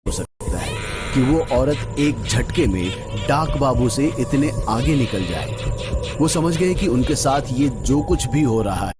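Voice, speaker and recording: A man with a mid-pitched voice narrates a scripted horror story. His delivery is dramatic and suspenseful, with drawn-out phrases. Music plays clearly behind him. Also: expressive.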